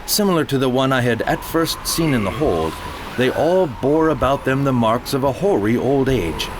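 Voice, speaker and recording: A man gives a measured, solemn first-person reading of a period sea tale in a one-man audio drama. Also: mid-range voice, animated, noisy background. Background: music.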